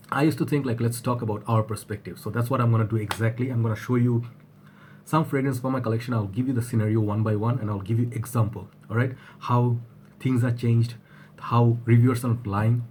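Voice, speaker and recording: Man, husky from a cold, rambling casual chat, mid pitch, faint background hiss. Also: warm tone. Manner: rambling, repeats himself, restarts often. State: husky from flu. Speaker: fragrance reviewer. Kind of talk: casual vlog talk to camera.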